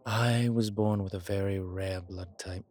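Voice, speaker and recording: A man playing a suave, grateful old vampire in a roleplay audio, speaking formally with pauses and quiet amusement. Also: deep voice, steady tone. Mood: wry, confiding. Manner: genteel, formal, hesitant pauses, soft chuckles. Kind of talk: intimate one-sided roleplay audio drama.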